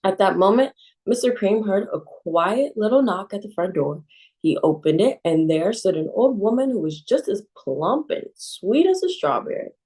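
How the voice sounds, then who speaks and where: A woman librarian reading a children's picture book aloud in a calm, expressive way. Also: medium pitch, moderate pace, husky, poor audio.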